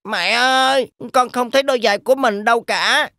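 A woman's voice: on the high side, fairly quick, pushed and bright, no echo, studio quality. A woman voicing an earnest young kid goat in a cartoon, in a childlike manner. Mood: worried.

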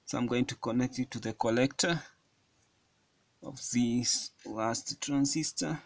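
A man giving a hands-on electronics tutorial at a table, explaining each step slowly with frequent fillers. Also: mid-range voice, no room sound. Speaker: electronics hobbyist demonstrating a circuit. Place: indoors at a wooden table.

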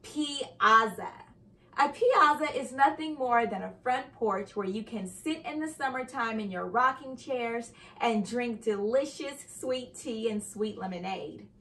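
A young adult woman reading a children's story aloud with expressive, carefully enunciated storytelling delivery. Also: fairly high pitch, a little slow, rough recording. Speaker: host and reader of children's read-aloud show. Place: indoor living room by a fireplace.

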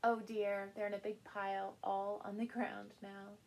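A middle-aged woman reads a picture book aloud to small children in an expressive, playful way, recorded indoors by a camera a short distance in front of her. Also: mid-range voice. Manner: animated, slow, with playful sound words and exclamations. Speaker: storyteller and channel host. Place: small furnished room indoors.

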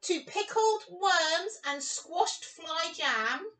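A woman in her fifties giving an expressive storytime read-aloud for young children, with exclamations and chatty asides. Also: very high-pitched, extremely slow, distant mic. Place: small room at home, indoors.

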